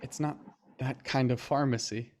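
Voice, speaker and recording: An actor as a guarded young man in a modern audio thriller. His voice sits in an ordinary middle register, earnest and a little halting, as if careful about what he gives away. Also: medium pitch, steady tone, natural voice. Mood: tense, guarded. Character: guarded, hesitant young man searching for a missing friend. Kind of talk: audio drama acting, present-day thriller.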